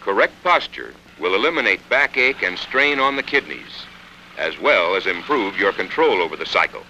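An American man reading scripted instructional narration for a training film in a measured, instructive manner. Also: low voice, sing-song, sharp tone, low-quality recording. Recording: 1960s film soundtrack, faint noise.

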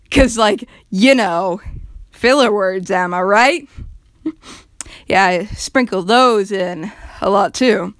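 A woman voicing a flirty, theatrical demon who stretches words, pauses for suspense, suddenly speeds up and sprinkles in filler words. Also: on the high side, fairly bright tone, clear, resonant tone, no room sound, studio quality, heavily acted. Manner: fluctuates between drawn-out words and fast bursts, suspense pauses, heavy filler words.

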